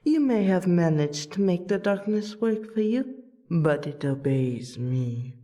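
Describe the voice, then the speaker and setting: A woman playing a courteous royal vampire and loyal friend in a fantasy audio roleplay, with a polite, theatrical, deliberate delivery. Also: fairly low pitch, expressive, warm tone. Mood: confident, sly.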